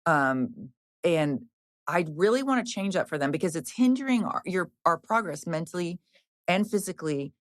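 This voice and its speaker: Chatty fifty-something trainer woman, lowish voice. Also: lively intonation, normal speed, no echo, clean studio sound. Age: 50s. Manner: chatty, rambling, frequent restarts and fillers; frank, swears for emphasis. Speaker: personal trainer guest. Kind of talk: casual podcast conversation.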